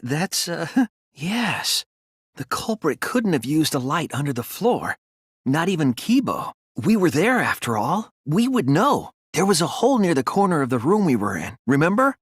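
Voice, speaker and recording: An earnest teenage boy detective in a video game. His delivery is careful but very animated, moving between tentative and insistent as he reasons. The voice has a soft rasp and is recorded dry and close. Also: fairly high pitch, no echo, full character voice. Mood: hesitant, nervous. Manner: hesitant at times, then insistent and explanatory. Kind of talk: English video game dub, deductive dialogue.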